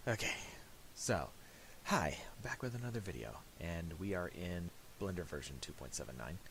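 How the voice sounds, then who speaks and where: Very deep, gravelly man, halting tutorial. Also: a bit bright, low-quality recording. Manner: halting, thinks aloud while working. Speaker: tutorial host. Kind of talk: casual, unscripted software tutorial. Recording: hissy home recording with mouse clicks.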